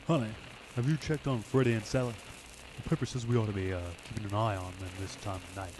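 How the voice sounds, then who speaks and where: A man's voice: lowish voice, fairly even intonation, fast talker, close to an everyday voice. Man acting plain-spoken family man, faint hiss. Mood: concerned, gruffly opinionated. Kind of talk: old-time radio acting.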